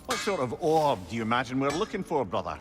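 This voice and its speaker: A man's voice: medium pitch, very animated, fast, close to an everyday voice. A man playing a genial, talkative and wry companion who advises a hero in a video game. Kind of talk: video game character acting.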